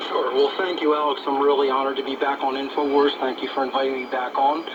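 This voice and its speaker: A man's voice: medium pitch, little pitch movement, quick pace. An American man from Philadelphia speaking politely as a guest on a talk show. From Philadelphia, United States. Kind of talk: polite introductory interview talk. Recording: muffled radio broadcast with clear static.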